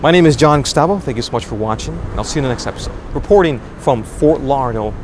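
A man's voice: mid-range voice, expressive, racing. A young man in his twenties gives an earnest, dramatic on-scene news report outdoors at night, wording things loosely and restarting often. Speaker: independent street reporter. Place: outdoors at night on a city street. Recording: outdoor recording with street noise. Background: street and traffic noise.

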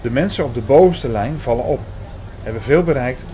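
An earnest, bookish male storyteller, played by an actor for an audio drama. He narrates in formal, measured phrases at a middling pitch. Clear ambient noise sits behind his voice. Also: expressive, natural voice. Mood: grave. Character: Hugo, earnest male first-person narrator reading out prophecy.

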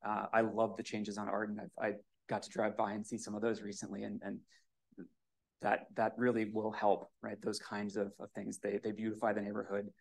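A man's voice: fairly low pitch, completely flat delivery, brisk, creaky. A man giving a hesitant, pleading public comment at a municipal commission meeting on a podium microphone. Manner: hesitant, many fillers, pleading.

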